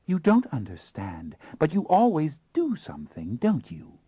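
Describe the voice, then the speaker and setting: Man putting on a high-pitched vampire girl, taunting, accusing, childlike, husky edge. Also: wildly swooping pitch, a little slow, warm tone, no room sound, full character voice. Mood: mocking.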